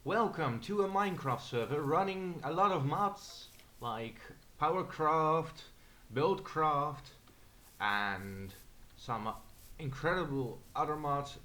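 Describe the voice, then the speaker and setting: A man's voice: on the high side, animated, takes it slowly, pushed and bright. A young Dutch man with an accent, hesitantly narrating a tour of his game server with many fillers. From Netherlands. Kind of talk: casual screen-recorded walkthrough.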